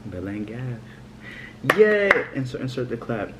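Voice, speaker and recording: Brief: shy 19-year-old guy, relaxed delivery with swinging pitch, noisy home recording. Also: a little slow. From New York. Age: teens. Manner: laid-back, camera shy. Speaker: co-host, boyfriend. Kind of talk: casual couples Q&A chat. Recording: noticeable background noise.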